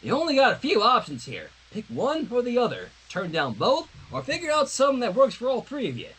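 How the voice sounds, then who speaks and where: A man's voice: very high voice, wildly swooping pitch, brisk, fairly bright tone, husky, voice pushed up, exaggerated voice, low-quality recording. A man voicing a plain-spoken, practical country teenage girl in a cartoon fan story, blunt and folksy. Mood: blunt advice.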